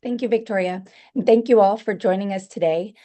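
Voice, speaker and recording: A hoarse woman presenting a talk over a video call, speaking carefully to be understood. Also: mid-range voice, warm tone. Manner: careful, deliberate delivery. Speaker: guest presenter.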